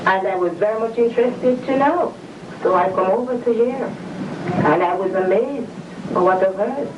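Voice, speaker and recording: A woman's voice: medium pitch, moderate pace. Woman, fervent believer, firm delivery; distant, roomy archive audio. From England. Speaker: interviewee, church member. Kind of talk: earnest testimony on video. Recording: dull, echoey room from far off, noisy.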